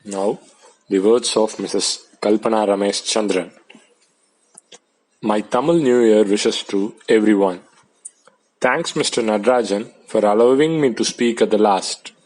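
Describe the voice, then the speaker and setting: A man, reading out translated debate speeches in a plain, measured way. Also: fairly low pitch, darkish tone, poor audio.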